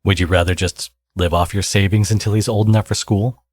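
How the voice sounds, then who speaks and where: Man playing a low, husky, teasing villain. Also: steady tone, a little fast, no room sound. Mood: smug, coaxing. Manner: sardonic, teasing, casual. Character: teasing male villain, smug new father, secretly caring. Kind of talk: ASMR audio roleplay.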